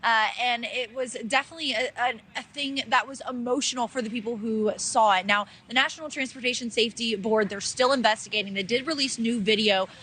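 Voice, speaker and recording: A woman's voice: on the high side, brisk, hardly any pauses, bright, forceful tone, slightly rough. A woman delivering a live news report as a field reporter. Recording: outdoor live report with background noise.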